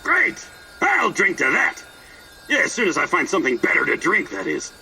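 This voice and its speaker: A man's voice: high-pitched, huge pitch swings, normal speed, vocal fry, bright, forceful tone, distant mic, a little hiss or hum, full character voice. An actor playing a manic, teasing, foul-mouthed eccentric man in a video game, telling rambling stories in a mocking, theatrical way. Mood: mock-jovial. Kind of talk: video game character acting.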